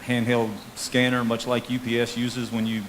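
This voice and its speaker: A man's voice: mid-range voice, monotone, normal speed, low-quality recording. A man explaining a proposal to a town council as police chief, in an informal, plain presenting style. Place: town council meeting room. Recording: faint hiss on meeting microphone.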